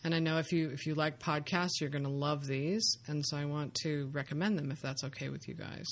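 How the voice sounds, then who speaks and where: A woman's voice: low-pitched, fairly quick, a little hiss or hum. A woman hosting a podcast, chatting casually and enthusiastically, rambling with many fillers and restarts. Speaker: podcast host.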